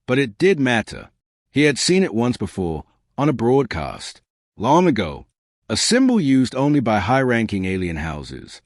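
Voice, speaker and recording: A man narrating a science fiction story in a measured, dramatic way with short pausing phrases. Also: medium pitch, huge pitch swings, no room sound, studio quality. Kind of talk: scripted dramatic sci-fi audiobook narration.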